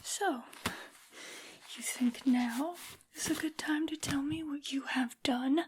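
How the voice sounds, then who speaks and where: A woman voicing a tall, haughty, menacing female vampire noble in a breathy, mostly whispered ASMR roleplay, imperious and scolding. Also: high-pitched, steady tone, noisy background. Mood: wounded, scornful. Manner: imperious, slow, drawn-out scolding. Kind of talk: soft-spoken ASMR roleplay.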